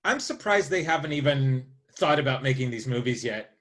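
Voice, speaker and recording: A man's voice: medium pitch, animated, moderate pace, a bit bright. A man co-hosting a podcast, chatting casually and informally with a fan's enthusiasm. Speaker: podcast co-host. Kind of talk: casual panel chat.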